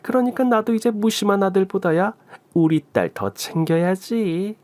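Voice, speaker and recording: Man voicing a doting, bossy older mother-in-law, animated pitch. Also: normal speed, no echo. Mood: playful, doting. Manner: scolding fondly, colloquial. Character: affectionate, teasing elderly mother-in-law, bossy but doting. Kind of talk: Korean radio story acting.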